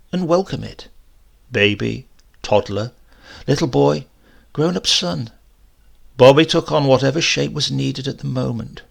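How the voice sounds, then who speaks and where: Male narrator for horror fiction: brooding, dramatic, husky, with very animated intonation. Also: mid-range voice. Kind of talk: dramatic first-person horror story narration.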